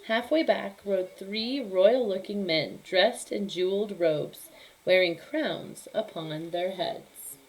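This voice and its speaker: A woman reads a children's story aloud like a teacher with her class. Her delivery is animated and emphatic, shaped for young listeners. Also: mid-range voice, slow, low-quality recording. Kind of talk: expressive children's story reading.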